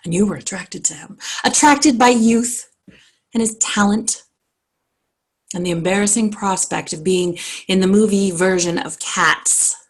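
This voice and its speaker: A cultured, wealthy society wife, played by a woman, speaks in an impassioned theatre monologue. Her voice is husky and creaky. She is intense and reflective, weighing her words with deliberate pauses. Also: mid-range voice. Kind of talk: dramatic stage monologue. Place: online video call.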